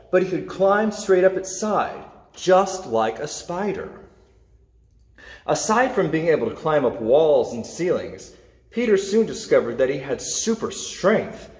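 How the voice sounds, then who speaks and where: A man with a slightly high, very animated voice reads a storybook aloud. He stresses the dramatic words like a storyteller. The room rings with echo around him. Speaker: storybook reader. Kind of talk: reading a children's superhero story aloud. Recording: echoey, reverberant room.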